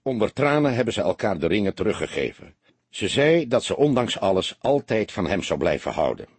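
A man as a calm, low-voiced veteran detective. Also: brisk, breathy edge, dry recording, exaggerated voice. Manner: calm, matter-of-fact. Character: seasoned grey-haired male police detective, calm, sober, unhurried.

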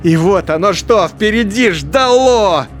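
A man's voice: high-pitched, animated, slow pace, faint music behind. Brooding male radio-play narrator.

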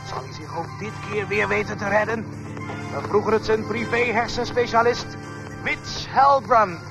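A slick male newsreader of a galactic radio station in a radio comedy. His voice is high and showy, like a breezy announcer. Music plays clearly behind him. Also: normal speed. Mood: showy, upbeat. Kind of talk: radio news parody in a radio play. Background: loud music.

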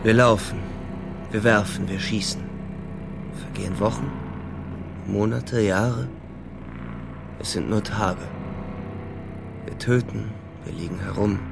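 An actor playing a weary young German frontline soldier, narrating the war in a grim, terse literary radio-drama style. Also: male voice, on the low side, takes it slowly, vocal fry, pauses often, loud music behind the voice.

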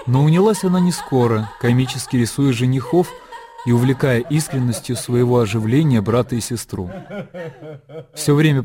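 Мужчина читает литературное повествование от автора в радиоспектакле. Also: male voice, mid-range voice. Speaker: narrator. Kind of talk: scripted literary narration in radio drama.

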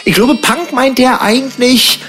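A man with a rather high voice in casual, playful banter. He rambles along and states opinions freely, with some guitar music faintly behind him. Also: measured pace, fairly bright tone. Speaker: radio talk host in an edited montage.

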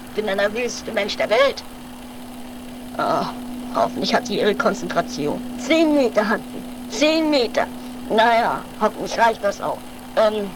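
A woman's voice: mid-range voice, close to an everyday voice. A woman playing a nervous, devoted companion of a daredevil in an improvised comic radio play, muttering dramatically and repeating words. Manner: dramatic, repetitive, agitated muttering. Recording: noisy home-made 1980s radio play recording.

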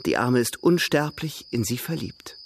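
Lebhaften, charmanten Überredungskünstler. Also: male voice, mid-range voice, expressive, moderate pace, creaky, a little hiss or hum. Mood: eager, persuasive. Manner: courtly, playful, eager persuasion. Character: urbane, persuasive young brother, smooth-talking matchmaker. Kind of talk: radio drama dialogue.

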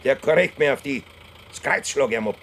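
Knarzigen, eifersüchtigen bayerischen Bauern. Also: male voice, mid-range voice, expressive, quick pace. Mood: threatening, jealous. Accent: Bavarian. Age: middle-aged. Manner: blustering, domineering. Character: gruff, jealous middle-aged farmer father, possessive and threatening. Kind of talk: German dialect radio drama acting. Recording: noisy, idling car engine behind. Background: idling car engine.

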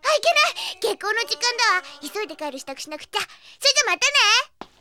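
A woman's voice: very high-pitched, big pitch swings, brisk. An actress playing a tomboyish, hot-blooded schoolgirl wrestling fanatic in an anime audio drama, blunt and boisterous. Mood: hurried, cheerful. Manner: blunt, boisterous, casual speech.

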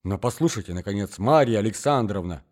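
A man voicing an indignant, wounded young gentleman in a dramatic reading of a story, with exclamatory, emphatic speech. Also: on the low side, lively intonation, brisk. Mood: baffled, indignant. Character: enraged, wounded young gentleman, rejected suitor.

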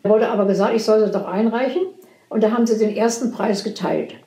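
A very old woman, a poet, telling anecdotes from her past in animated, casual conversation. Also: mid-range voice, fast. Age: 80s or older. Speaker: poet recalling her career. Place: indoors in a room. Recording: roomy echo with music.

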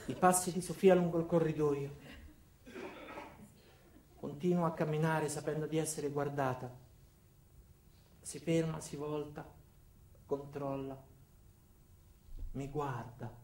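A man performing a comic first-person theatre monologue in short clipped phrases with dramatic pauses. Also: a little high, fairly even intonation, darkish tone. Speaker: stage actor performing a monologue. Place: indoor theatre. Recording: roomy, echoey stage with background noise.

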